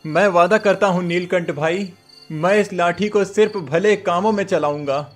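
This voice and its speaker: A man's voice: sits high, normal speed, soft background music, lightly acted. A man voicing a simple young goatherd. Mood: earnest. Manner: earnest, easily amazed. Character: poor young male goatherd, simple and earnest. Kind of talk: cartoon storybook voice acting.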